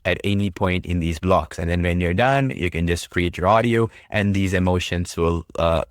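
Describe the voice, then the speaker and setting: Brief: deep male presenter, casual upbeat demo delivery, dry close sound. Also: normal speed, clean tone, dry recording. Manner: upbeat, breezy, says "boom" often. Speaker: product demo host. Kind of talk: casual screen-recorded walkthrough.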